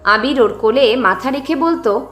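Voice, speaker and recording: A woman's voice: a little high, expressive, moderate pace, fairly bright tone. A woman narrating a scripted dramatic story as its storyteller. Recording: roomy echo with music underneath.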